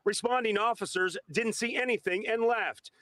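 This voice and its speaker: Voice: mid-range voice, moderate pace, fairly bright tone. A man reading scripted news narration as a television reporter.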